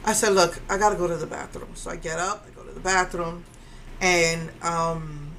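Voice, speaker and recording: A woman's voice: on the low side, fairly even intonation, moderate pace, a bit bright, a little hiss or hum. Indignant woman with a strong voice. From Puerto Rico. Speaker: vlogger. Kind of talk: casual storytelling rant.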